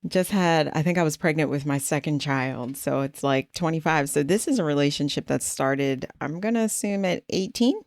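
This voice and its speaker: A woman in her late thirties hosting an interview, asking questions in a teasing, animated way. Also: deep voice, moderate pace, few pauses, dry recording, clean studio sound. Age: 30s. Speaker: host.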